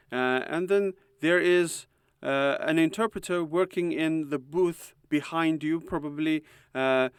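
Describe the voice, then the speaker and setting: A man whose native tongue is Uyghur speaks in an explanatory conference-lecture manner, pausing often on soft "uh"s. His voice sits a little high for a man and sounds smooth and resonant. The recording is close and dry, with no room echo. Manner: explanatory, many filler "uh"s, measured. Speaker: university lecturer and translator. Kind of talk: conference talk.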